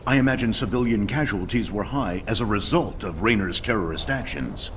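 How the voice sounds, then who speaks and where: A man's voice: on the low side, animated, a little fast, a bit bright, a little hiss or hum. A man playing a pompous, biased TV news anchor with a slick, sensational and dismissive delivery. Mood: dramatic, dismissive. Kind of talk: theatrical TV newscast delivery.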